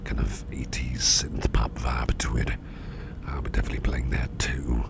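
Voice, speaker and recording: A man playing an attentive, easygoing businessman boss in a soft, breathy, whispery ASMR roleplay, unhurried and soothing, murmuring close to the microphone. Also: fairly even intonation, very noisy, heavily acted. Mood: relaxed, musing. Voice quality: very low, gravelly, breathy near-whisper. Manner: unhurried, soothing, indulgent, musing aloud.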